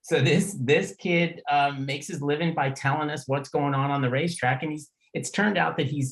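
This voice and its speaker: A man's voice: medium pitch, rough recording. A middle-aged man hosting a casual conversational interview in an informal, chatty, plain-spoken way. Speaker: podcast host.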